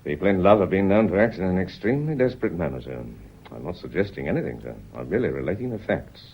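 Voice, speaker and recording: Radio play, British police inspector: deep, animated, deliberate and politely insinuating, on a dull recording. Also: male voice, husky, heavily acted. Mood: calm, official. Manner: measured, formally courteous, insinuating. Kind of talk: British radio drama acting. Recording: dull, band-limited radio drama recording.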